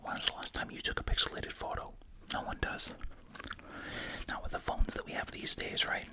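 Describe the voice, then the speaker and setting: Whispering ASMR host chewing gum. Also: moderate pace. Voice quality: breathy unvoiced whisper. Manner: chewing gum while talking. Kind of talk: whispered ASMR, reading out and commenting casually.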